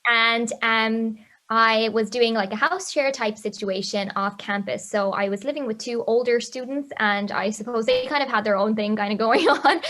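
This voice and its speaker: Young woman, fairly high voice, chatty, laughing a lot, video call. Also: fairly bright tone. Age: young adult. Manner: chatty, laughs often, lots of fillers. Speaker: law student panelist. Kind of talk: casual webinar chat.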